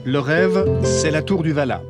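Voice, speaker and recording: A man's voice: fairly high pitch, a little slow, loud music behind the voice. A man reading scripted documentary narration as the narrator.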